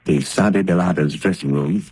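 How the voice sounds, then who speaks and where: An actor playing a calm, determined gentleman crime-fighter who speaks in a measured, steady way in the style of 1930s radio drama. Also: low voice, slightly rough, no echo.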